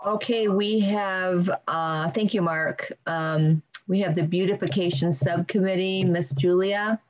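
Low-voiced, unhurried woman chairing. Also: fairly even intonation. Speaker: board chair running meeting. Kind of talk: informal meeting moderation. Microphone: computer mic on video call. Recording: muffled video call audio.

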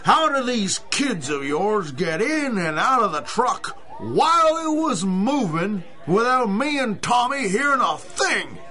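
An actor playing an indignant working-class food hauler who blusters and complains in a radio drama. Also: male voice, high-pitched, sing-song, unhurried, hardly any pauses, pushed and bright, full character voice. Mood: exasperated. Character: indignant working-class male produce business owner. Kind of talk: 1930s-style radio drama acting.

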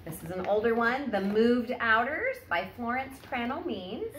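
A woman's voice: fairly high pitch, expressive, measured pace. A woman in her thirties talking casually to camera like a teacher presenting to families, recorded indoors on a phone a short distance away. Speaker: teacher introducing a children's summer reading challenge. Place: indoor room at home, sitting on a wooden floor against a wall. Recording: echoey room, faint background noise.

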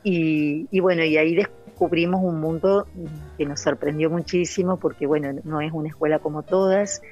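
Lady, pretty low voice, lots of "eh" pauses, dull lo-fi call audio. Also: fairly even intonation, darkish tone, soft background music. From Santa Fe, Argentina. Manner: earnest, with many hesitant "eh" fillers. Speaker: novelist guest. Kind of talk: interview over a call.

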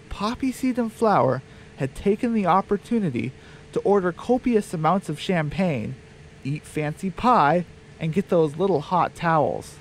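Casting: male comic narrator, slightly high register, very animated intonation, scripted storytelling. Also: low-quality recording. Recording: faint background noise.

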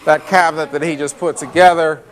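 A man's voice: on the high side, fairly even intonation, a little fast. A man guiding a walking tour through a factory, explaining each step plainly with frequent fillers and restarts. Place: loud factory floor. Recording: factory noise behind voice.

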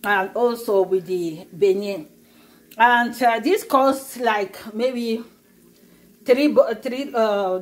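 Middle-aged woman, cooking vlog host, talks casually through steps in her kitchen on a phone camera. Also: fairly high pitch. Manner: chatty, explains step by step with many fillers. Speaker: cooking vlogger. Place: home kitchen.

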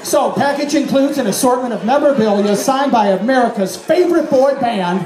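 Energetic male auctioneer, high voice, echoey PA in a big tent, loud crowd. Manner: energetic, joking, rapid bid calling. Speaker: charity auctioneer. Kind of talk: live charity auction calling. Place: large event tent with a crowd. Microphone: handheld mic through PA, filmed from audience phone.

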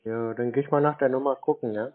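A man baiting a phone scammer, unhurried and playing dumb, answering in short replies. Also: mid-range voice, fairly even intonation. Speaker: scam baiter. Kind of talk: phone call. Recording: tinny phone line.

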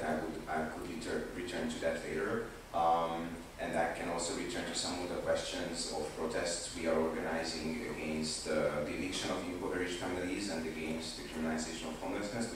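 A young man with a Hungarian accent speaking on a conference panel, slow and deliberate, with many hesitations and restarts. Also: a bass voice. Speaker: panelist, activist. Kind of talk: panel discussion talk. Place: large indoor conference room, seated on a sofa panel. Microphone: handheld mic, recorded from a far camera. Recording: echoey hall from far off with background noise.

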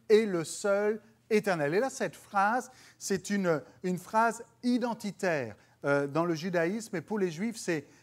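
Preaching man with a French accent. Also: high-pitched. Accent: French (France). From France. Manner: preaching, rising emphatically on scripture quotes. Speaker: pastor. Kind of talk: sermon. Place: church stage before dark curtains, indoors.

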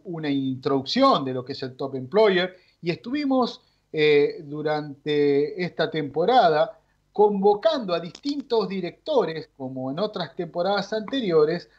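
A man's voice: fairly high pitch, lo-fi sound. A man hosting a radio interview, asking long winding questions with many "eh" fillers. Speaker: radio host. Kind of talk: radio interview, introducing guest.

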